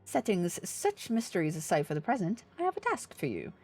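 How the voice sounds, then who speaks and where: A woman's voice: lowish voice, wildly swooping pitch, a little fast, a little mellow, faint music behind, exaggerated voice. A woman reading aloud the lines of an earnest, formal leader of a fantasy order in a video game. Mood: wry, formal. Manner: formal, solemn, oratorical.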